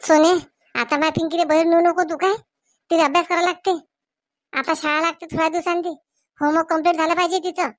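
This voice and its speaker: A woman's voice: very high voice, level tone, fast talker, long pauses, dry recording, clean studio sound. A woman voicing a fretful, strict village mother in a comedy cartoon, admonishing her child. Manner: fretting, admonishing.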